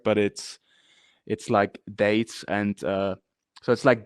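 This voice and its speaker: Man, guest from northern italy, smooth even voice, rambling with fillers, dry close sound. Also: on the low side, no echo. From Dolomites region of northern Italy. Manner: rambling, many fillers and restarts. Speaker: guest, activist rapper. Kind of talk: casual interview talk.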